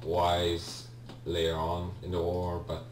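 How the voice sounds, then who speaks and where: Guy doing a lets play, deep voice, kinda rambly and hesitant, mic sounds far off w some hum. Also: monotone, slow. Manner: hesitant, rambling, self-correcting. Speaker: let's player, video game host. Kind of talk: casual gameplay commentary. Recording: roomy, distant mic with faint hum.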